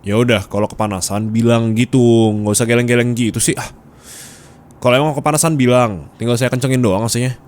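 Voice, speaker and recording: A man's voice: mid-range voice, a little fast, close to an everyday voice. A man playing a bossy, nagging office boss in an ASMR roleplay, scolding and teasing bluntly in colloquial speech with a Jakarta Indonesian accent. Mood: exasperated. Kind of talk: intimate ASMR roleplay acting. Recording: steady background ambience noise.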